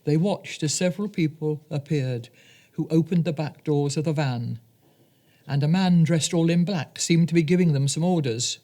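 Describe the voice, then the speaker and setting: A woman narrating a scripted children's story as a storyteller, reading gently and deliberately. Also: low voice.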